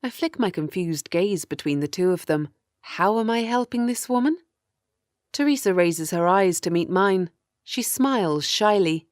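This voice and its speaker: A low-pitched woman reading as a captive woman of thirty recounts her ordeal in a horror audiobook. The delivery is measured and grim, weary and afraid. The sound is dry and close, with no room around it. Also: lively intonation, a little mellow, no echo, lightly acted. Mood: uneasy, horrified. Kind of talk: first-person audiobook horror narration.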